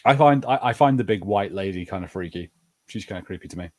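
A man's voice: low-pitched, animated, quick pace. A man co-hosting a podcast in casual, bantering conversation about pop culture. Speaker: podcast host.

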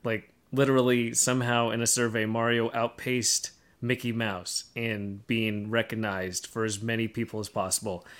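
A man speaks as a co-host in a casual podcast discussion. His voice is medium in pitch, and he explains things step by step, building up each point in a conversational way. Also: little pitch movement, normal speed, few pauses, a bit bright.

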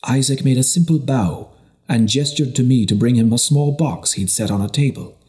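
A man reading a historical novel as scripted narration, in a measured storytelling delivery. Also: mid-range voice, warm tone. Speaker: audiobook narrator.

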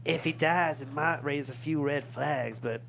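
A woman's voice: low-pitched, unhurried, creaky, slight background noise. An actress playing a bawdy, teasing older small-town woman in a comedy script table read. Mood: frank.

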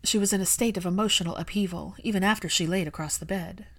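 A woman's voice: lowish voice, fast. A woman reading scripted fiction aloud as an audiobook narrator.

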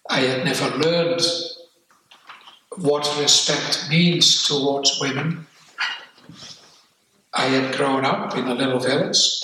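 An older man from the Netherlands with a Dutch accent, speaking candidly and haltingly about his life, repeating words as he goes. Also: mid-range voice, pushed and bright, far from the mic, slight background noise. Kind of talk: personal reflective testimony. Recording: echoey room, off-mic.

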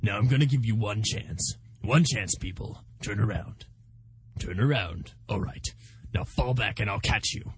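A man's voice: on the low side, unhurried, slight background noise. An actor playing a cocky martial-arts pastor in a comedy sketch, blustering and pompous as he barks orders and sells his program. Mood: stern. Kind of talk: comedy sketch sales pitch.

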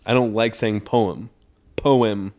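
A man's voice: lowish voice, fairly even intonation, takes it slowly, darkish tone. A man chatting casually as a podcast co-host, with a joking, playful way of talking. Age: adult parent of a school-age child. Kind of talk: casual chat.

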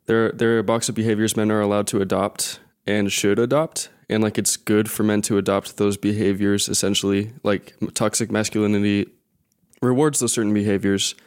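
A man's voice: lowish voice, level tone, brisk, smooth. A man on a discussion panel, talking casually and thoughtfully.

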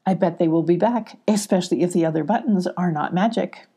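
A watchful scout bee from a children's storybook, put on by a woman reader. It sounds alert and wary, with a husky, creaky grain. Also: on the low side, a little fast, warm tone.

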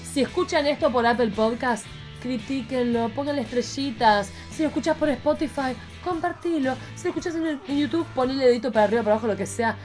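A woman's voice: high-pitched, fairly quick, few pauses, darkish tone, faint music behind. A woman hosting a podcast, chatting casually in a playful, campy, theatrical way. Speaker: podcast host.

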